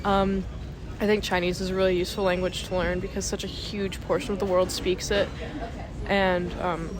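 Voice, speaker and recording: A woman's voice: lowish voice, little pitch movement, normal speed. Woman answering thoughtfully in a crowd. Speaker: interviewee. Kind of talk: casual chat.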